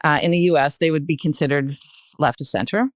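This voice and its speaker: A woman's voice: deep voice, steady tone, no echo, clean studio sound. An older woman in a panel conversation, speaking emphatically and often repeating phrases to make her point. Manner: emphatic, halting repetition of phrases. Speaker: podcast guest, retired journalist.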